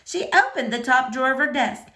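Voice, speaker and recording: A woman narrates a children's storybook in expressive read-aloud style. Her voice sits fairly high and swings widely in pitch from phrase to phrase. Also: brisk. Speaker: storybook reader.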